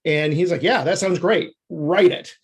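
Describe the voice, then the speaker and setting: A man's voice: fairly high pitch, normal speed, breathy edge. A man speaking as an interview guest, telling stories conversationally and acting out bits of dialogue as he goes. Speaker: podcast guest, screenwriter.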